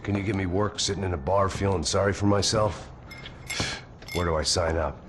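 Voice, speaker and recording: An actor playing a weary, bitter, hard-drinking ex-cop in a video game, deadpan and sardonic. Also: male voice, low voice, moderate pace, slight background noise, close to an everyday voice. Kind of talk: noir game narration and dialogue. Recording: music playing loudly behind.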